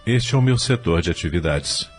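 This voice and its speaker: A man playing an earnest, patient spirit records clerk in an audio novel, explaining in a measured, didactic way. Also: low voice, animated, soft background music. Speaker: guide at a spirit-world records centre.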